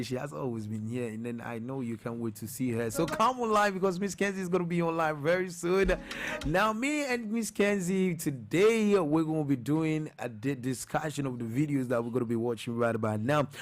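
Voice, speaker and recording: A man with a Ghanaian English accent hosting a casual morning radio show, talking in a lively, excitable, slangy way. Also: medium pitch.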